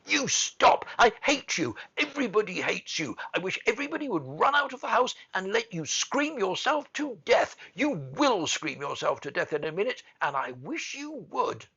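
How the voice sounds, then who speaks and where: A man voicing a savage, hot-tempered little girl who shouts, scolds and commands in a storybook reading. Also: high voice, sing-song, moderate pace, hardly any pauses, breathy edge, lo-fi sound, voice pushed up, full character voice. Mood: furious.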